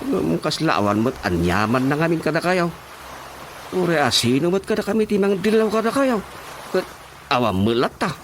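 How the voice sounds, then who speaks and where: A man's voice: a little high, big pitch swings, normal speed, a little hiss or hum. A man acting in an Ilocano radio drama as an exasperated, stern village chairman, scolding expressively. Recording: music playing behind the voice. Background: prominent background music.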